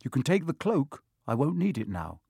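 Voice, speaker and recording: A man reading the part of a brave, earnest young schoolboy in a storybook, quietly and seriously. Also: mid-range voice, huge pitch swings, normal speed, gravelly, husky, no echo, studio quality, exaggerated voice. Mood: quiet resolve.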